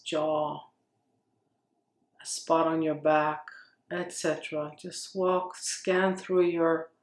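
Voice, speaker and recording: A woman leading a guided meditation with gentle, unhurried phrasing and long pauses. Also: low voice. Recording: roomy, echoing space.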